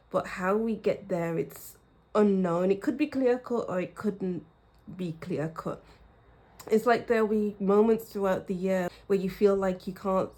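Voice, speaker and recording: Slow, mellow-voiced woman reading tarot. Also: mid-range voice. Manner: slow, reflective, repeats phrases for emphasis. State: croaky throat. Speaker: tarot reader. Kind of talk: pick-a-card tarot reading.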